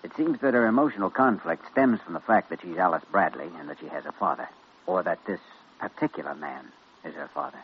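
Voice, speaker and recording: An actor playing an earnest, firm young hospital doctor in a 1940s radio drama, brisk and authoritative. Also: male voice, low voice, animated. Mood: thoughtful. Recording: muffled, noisy old radio recording.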